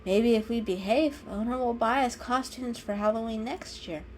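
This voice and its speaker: A woman's voice: on the high side, moderate pace, a little hiss or hum, natural voice. A woman voicing a kindly female calico cat in a storybook reading, explaining things patiently and knowingly. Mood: kindly, cheerful.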